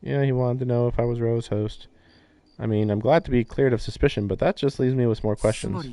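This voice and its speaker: A man giving casual live-stream commentary on a game, thinking aloud in halting, musing fragments. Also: mid-range voice, fairly even intonation, warm tone, no echo. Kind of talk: casual live commentary on a visual novel playthrough. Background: music.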